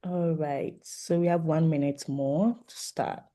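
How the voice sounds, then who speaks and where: Online meeting host: soft mellow tone, polite and inviting, informal call moderation. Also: medium pitch, fairly even intonation, a little slow. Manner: polite, inviting participants to introduce themselves. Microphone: video call microphone.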